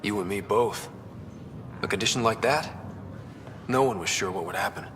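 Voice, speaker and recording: A man's voice: fairly low pitch, moderate pace, fairly bright tone, slight background noise. An actor playing a determined, wry young man who leads explorers in a sci-fi video game. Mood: gently warm. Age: young adult. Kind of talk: naturalistic video game cutscene acting. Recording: long echoey reverb, faint music behind.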